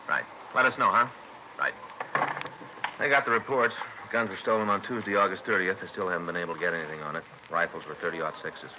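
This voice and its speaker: A man acting a businesslike American detective in a 1950s radio police show. He talks in short casual bursts in a very deep voice, at a brisk clip. The sound is dull and vintage, with light noise. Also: natural voice. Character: plainspoken, businesslike male police detective partner. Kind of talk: 1950s radio crime drama dialogue. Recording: muffled, faintly noisy old radio recording.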